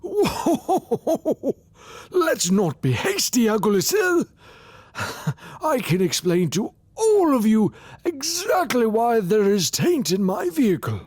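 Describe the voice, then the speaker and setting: A woman voicing a jolly but stern old Santa Claus creature, with a deliberate, theatrical delivery in an audiobook drama. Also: a little high, big pitch swings, darkish tone, breathy edge, no echo, heavily acted. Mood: jovial, placating. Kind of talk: audiobook drama character acting.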